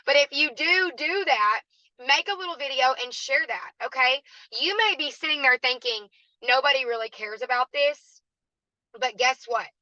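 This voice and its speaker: A woman with a raspy, hoarse voice that still sounds bright and forceful, pitched a little high. She speaks in a chatty, encouraging way as a guest speaker. The recording is dry and slightly dull. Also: moderate pace, no room sound. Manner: chatty and encouraging, addresses listeners as "y'all". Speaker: guest speaker at a team training. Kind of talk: motivational training talk.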